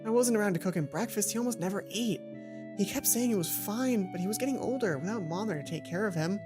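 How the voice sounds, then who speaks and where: A woman's voice: mid-range voice, quick pace. A woman reading a visual novel aloud as a let's play host, narrating expressively and breaking off for casual comments. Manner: performs dialogue, breaks off to comment. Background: game music.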